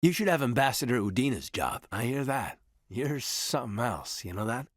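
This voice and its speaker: A man acting in a video game as an earnest, loyal military officer, reserved and thoughtful, with a husky voice and a sincere, measured delivery. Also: medium pitch, lively intonation, dry recording, studio quality, natural voice. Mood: wry, warm.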